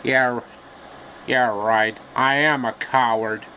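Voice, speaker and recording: A man voicing a cowardly lion from a storybook, blustering yet sheepish, with drawn-out, repetitive phrasing. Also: medium pitch, fairly even intonation, sharp tone, slight background noise, voice pushed down, low-quality recording.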